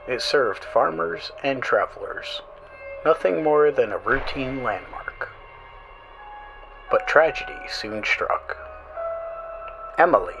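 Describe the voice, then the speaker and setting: A man hosting a horror radio show, reading creepy stories in a slow, eerie, dramatic way, with music playing under his voice. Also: medium pitch, poor audio. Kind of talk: scripted horror narration.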